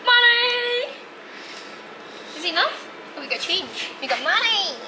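A woman's voice: very high-pitched, very animated, unhurried. A young woman, bantering casually with friends outdoors at a station. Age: young adult. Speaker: travel companion. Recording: clear outdoor noise, camera audio.

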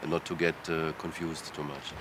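A man's voice: rumbling low, little pitch movement, moderate pace, over loud music. A man speaking thoughtfully in an interview on a city rooftop, hesitating often with fillers, traffic noise behind him. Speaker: lecturer. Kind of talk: reflective interview answers. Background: city street sounds.

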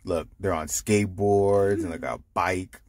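A man reading a picture book aloud to children, explaining it simply with animated asides. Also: low-pitched, measured pace. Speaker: storytime reader.

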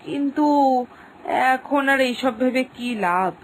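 A woman's voice: sits high, animated, measured pace, a little hiss or hum, poor audio. A woman voicing a doting, anxious but stubborn village mother in a cartoon, with a homely, chatty, plaintive way of talking. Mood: defensive, wistful. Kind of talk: dramatised cartoon dialogue.

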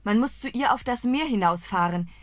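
A kind young princess in a storybook radio play, voiced by a woman gentle and caring. Her voice is mid-pitched and sits very dry and close, with no room sound. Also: animated, fairly quick, dry recording, close to an everyday voice. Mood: concerned. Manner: gentle and warning. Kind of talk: German fairy-tale radio play.

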